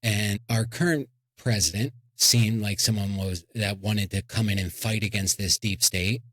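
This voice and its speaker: A man's voice: fairly low pitch, steady tone, unhurried, a bit bright, slightly rough, no room sound. A man hosting a comedy podcast, joking and rambling with restarts. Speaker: podcast host. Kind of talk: casual comedic chat.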